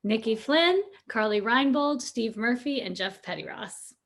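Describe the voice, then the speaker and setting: An actress playing a gracious, cheerful radio theater hostess in an old-time announcing style. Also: female voice, on the high side, lively intonation, measured pace, fairly bright tone. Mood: gracious, upbeat. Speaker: announcer and host.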